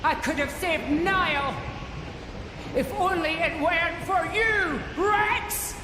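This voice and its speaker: An actress playing a bitter, vengeful young woman in a video game cutscene, speaking in a dramatic, accusatory way. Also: extremely high pitch. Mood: accusing fury. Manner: dramatic, accusatory, slow and deliberate. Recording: echoey game cutscene with long reverb, noisy ambience.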